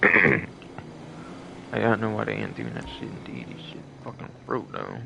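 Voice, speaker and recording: A man livestreaming a video game with casual, rambling, irritated commentary, swearing heavily and repeating himself, with a troubled, gravelly throat. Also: on the low side, very animated, unhurried, noisy background. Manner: heavy swearing, irritated and repetitive. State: throat trouble, frequent coughing and clearing.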